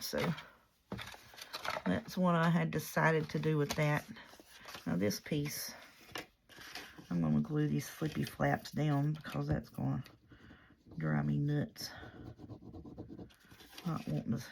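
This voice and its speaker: A hoarse woman, chatting casually and rambling softly as she works through a crafting project. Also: low-pitched, slight background noise. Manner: soft-spoken and rambling. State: hoarse from a cold. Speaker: craft vlogger.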